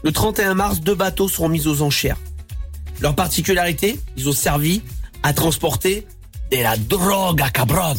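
A man's voice: fairly high pitch, faint music behind. A man hosting a live radio show, joking and teasing his co-hosts. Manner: jokey, teasing, quick asides. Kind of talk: lively radio banter.

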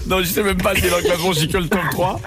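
Playful southern French radio host. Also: male voice, sits high, steady tone, very fast, loud music behind the voice. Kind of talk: lively radio banter.

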